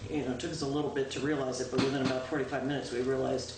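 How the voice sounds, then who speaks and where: A woman town clerk giving a chatty, informal report to a town board, loud-voiced and pausing often with "um". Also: a bass voice, level tone. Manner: chatty, with frequent "um" and self-corrections. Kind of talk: informal spoken report at a public meeting. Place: town meeting room. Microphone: distant room microphone. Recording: echoey, distant, noisy local-access meeting recording.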